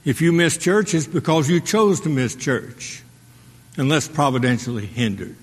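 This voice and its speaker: A man preaching a sermon as pastor, asking the congregation rhetorical questions and pausing for their response. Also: a little high, animated. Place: church sanctuary. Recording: long hall reverb.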